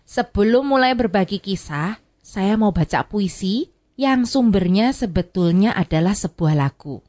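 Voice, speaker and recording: An actress playing an outspoken former migrant worker in an educational drama, colloquial. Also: female voice, medium pitch, animated, measured pace, darkish tone, lightly acted. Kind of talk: scripted educational radio drama dialogue.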